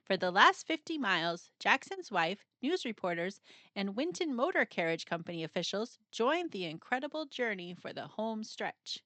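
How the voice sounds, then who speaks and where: A woman reads a children's story aloud with a dramatic, expressive delivery. Her voice is bright and somewhat high, swinging widely in pitch. She uses suspenseful pauses, and the recording is dry and close. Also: dry recording. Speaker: storybook narrator. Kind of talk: scripted children's read-aloud narration.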